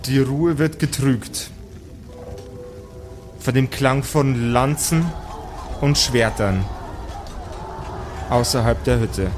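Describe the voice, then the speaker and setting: A man narrating an improvised tabletop audio play as game master, in measured, dramatic short phrases. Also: medium pitch, music in the background.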